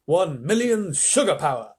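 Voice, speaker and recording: A man voicing a gleeful, eccentric gentleman inventor in a children's storybook, exclamatory and confident. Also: a little high, very animated, a little slow, husky, exaggerated voice. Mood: cheerfully calm.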